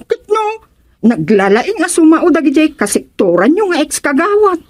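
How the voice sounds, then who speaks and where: A woman's voice: high-pitched, sing-song, normal speed. A woman with a Filipino accent playing a proud, emphatic village councilwoman in a radio comedy-drama. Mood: proud, teasing. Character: village councilwoman, proud of her neighbourhood's unity.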